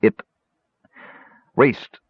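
A man voicing a big, weary male warrior who speaks in gruff mutters. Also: drawn-out words, no echo. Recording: dull, dry lo-fi recording.

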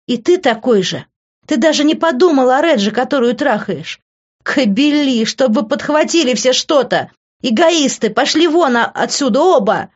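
A man voicing a bitter, wounded young woman with loud, accusing, scornful delivery in an audiobook. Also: very high voice, expressive, moderate pace, muffled recording, pitched above the performer's own voice. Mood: furious.

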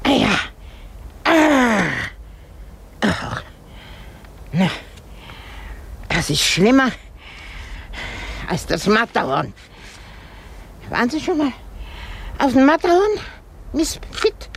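A woman's voice: sits high, sing-song, very slow, vocal fry, long pauses, slight background noise, heavily acted. An actress playing an elderly, cantankerous, self-pitying woman in a radio drama, exclaiming and groaning with effort. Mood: straining, breathless.

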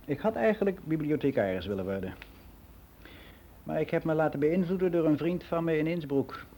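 A man's voice: on the high side, lively intonation, brisk, warm tone, slight background noise. An actor playing a world-weary middle-aged man who rambles in a frank, confiding way in a radio play monologue. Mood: chatty, rambling. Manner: rambling, confiding, matter-of-fact.

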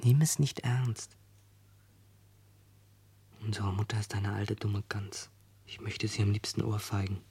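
A man's voice: lowish voice, steady tone, measured pace, long pauses, warm tone, breathy edge, exaggerated voice. A man playing a schoolgirl's protective, imaginative older brother in a 1970s German radio play. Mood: hushed, bitter.

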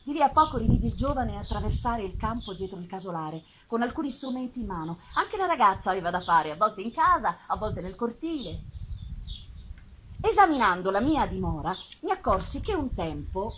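A woman giving an expressive, dramatic literary reading with pauses and drawn-out phrases, performed live on stage. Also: mid-range voice, a little hiss or hum. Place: live theatre festival performance. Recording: live music behind the voice. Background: live musical accompaniment.

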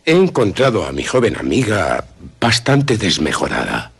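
A man playing a grave, courteous country doctor in a radio drama, with a husky Castilian Spanish voice and measured, formal, authoritative delivery. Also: fairly low pitch, big pitch swings, full character voice. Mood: grave, firm.

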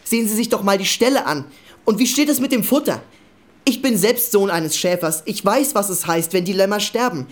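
A woman playing an earnest party comrade in a radio drama, arguing insistently and a little haltingly for fairness. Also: medium pitch, expressive, close to an everyday voice. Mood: earnest, pleading. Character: earnest young party comrade, shepherd's child, pleading for fairness. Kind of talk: German radio drama acting.

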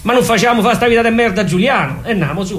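A man's voice: sits high, animated, racing. A man performing a theatrical comic storytelling monologue, emphatic and repetitive, with sudden exclamations.

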